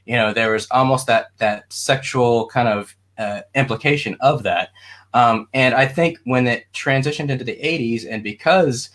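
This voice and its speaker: A man's voice: mid-range voice, moderate pace, fairly bright tone. A man talking casually as a panelist, informal and rambling in his delivery.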